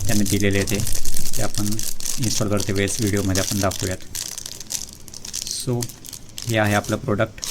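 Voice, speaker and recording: A man doing a casual unboxing walkthrough as a vlogger, hesitant, with many fillers and pauses, mixing English words into his speech. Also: on the low side, noisy background.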